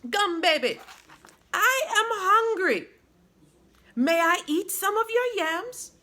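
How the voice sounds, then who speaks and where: A woman voicing a quick-tempered, demanding female fairy in a storybook. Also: very high-pitched, expressive, sharp tone, voice pushed up, exaggerated voice. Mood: sweet, hungry. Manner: exclaiming, demanding.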